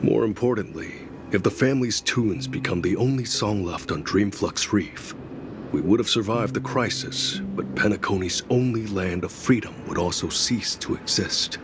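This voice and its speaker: A man's voice: lowish voice, normal speed, noisy background, close to an everyday voice. An actor playing a weary, principled night watchman in a video game, solemn, restrained and formal. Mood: grave, resigned. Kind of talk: video game character acting. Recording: game music behind the voice.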